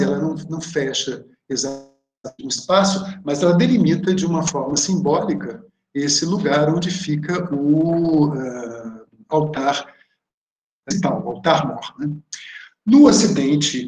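A man with a Brazilian Portuguese accent gives an art history lecture over shared slides on a video call, first reading a prepared text, then explaining the slides with some hesitant fillers. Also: on the high side. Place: home room on a video call. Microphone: webcam or laptop mic on a video call. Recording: roomy video call audio.

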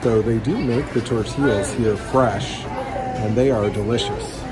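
A man's voice: on the low side, measured pace, loud music behind the voice. A man in his thirties doing a casual food review vlog, chatting and humming between bites while he eats. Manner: chatty, eating between lines with satisfied hums. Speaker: food vlogger. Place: indoor restaurant dining room. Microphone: phone held at arm's length. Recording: phone audio with clear restaurant noise. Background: restaurant noise.

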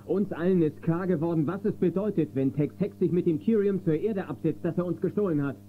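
An actor playing an indignant prospector in a radio play, insistent and agitated. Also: male voice, a little high, brisk, lightly acted. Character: indignant male prospector, spokesman of miners. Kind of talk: German radio play acting. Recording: phone-like, noisy old cassette copy.

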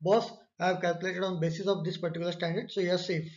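High-voiced man teaching from slides. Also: little pitch movement, fast. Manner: explanatory, repeats key phrases for emphasis. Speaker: instructor. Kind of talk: online course lecture walking through slides. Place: indoor room, webcam overlay on slides. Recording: slightly dull lo-fi recording.

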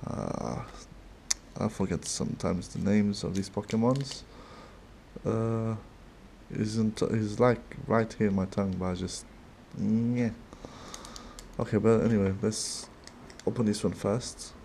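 A man with a non-native English accent talks slowly in a low, mellow voice while he opens card packs at his desk. His speech is halting and chatty, with restarts and little laughs, and a faint room hum sits behind him. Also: fairly even intonation, creaky. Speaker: card-opening channel host. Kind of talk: casual card-opening commentary.

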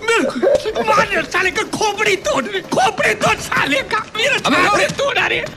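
A man's voice: very high-pitched, animated, a little slow, shouting, over loud music, exaggerated voice. A man playing an irate, scheming old comedy uncle who scolds theatrically in street slang. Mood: outraged. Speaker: gaming streamer using a voice changer.

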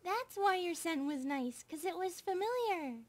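Teenage centipede maid, very high voice, childlike, sweet, clingy, ASMR roleplay. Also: female voice, animated, normal speed. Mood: curious, sweet. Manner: innocent, clingy, cheerful. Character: affectionate childlike eighteen-year-old centipede maid girl.

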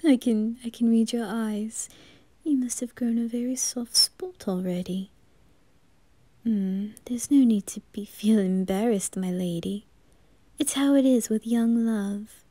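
A woman playing a calm, polite young maid in an ASMR roleplay, speaking in a hushed, soothing, deferential way. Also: a little high, measured pace, dry recording. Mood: gentle, reassuring.

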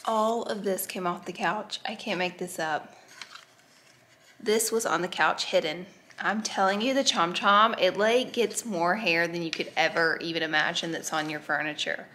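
A woman in her thirties chatting straight to viewers in a bubbly, casual vlog style while moving around a bright home, recorded on a camera mic at a distance. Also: lowish voice, steady tone, moderate pace, a little hiss or hum. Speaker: lifestyle vlogger. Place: bright open-plan home, living room and kitchen. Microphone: camera mic, filmed at a distance while moving around. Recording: roomy echo from a large room.

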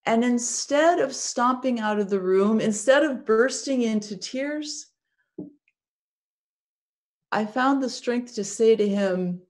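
A woman's voice: a little high, slow, a little mellow. A woman acting a proud foreign single mother who is stung but defiant, speaking in an earnest, emotionally raw first-person monologue. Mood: resolute. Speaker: actor in a biblical monologue.

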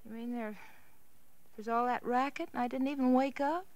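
An actress playing a tender, solicitous American wife in a 1940s radio drama. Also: female voice, on the high side, moderate pace, over music, close to an everyday voice. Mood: concerned. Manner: solicitous, insistent. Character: tender, anxiously fussing young wife.

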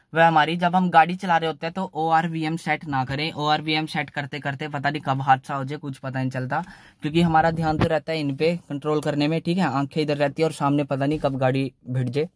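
A young man talks in a slightly high voice, and the sound is close and dry inside a small car. He chats loosely and circles back on his points, adding "theek hai" after nearly every sentence, recorded on a phone. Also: fairly even intonation, brisk, no room sound. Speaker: car channel host. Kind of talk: casual how-to talk in a parked car.

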